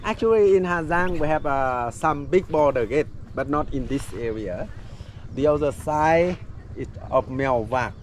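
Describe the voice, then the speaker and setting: A man's voice: on the high side, animated, measured pace, a little hiss or hum. A man with a Vietnamese accent, a local tour guide casually explaining things to travelers in non-native speech.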